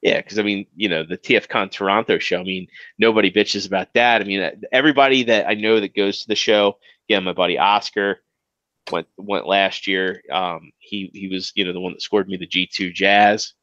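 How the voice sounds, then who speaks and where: A man's voice: deep voice, steady tone, a little fast, fairly bright tone, dry recording, muffled recording. A man with a Maryland accent co-hosting a podcast, rambling casually with lots of fillers and restarts. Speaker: podcast co-host. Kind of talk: casual chat.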